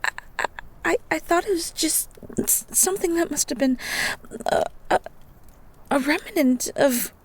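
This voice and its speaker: A woman playing a shy, bookish young woman in a romantic audio roleplay, stammering and halting in a soft, breathy voice. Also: high voice, animated. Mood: flustered, defensive. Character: shy, flustered young bookish woman living in a beast's castle.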